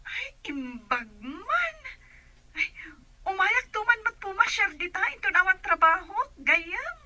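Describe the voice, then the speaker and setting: A woman's voice: very high-pitched, sing-song, a little slow, pushed and bright, heavily acted. A woman acting in a radio comedy as a chummy, nosy female friend with an Ilocano accent. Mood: breezy, teasing.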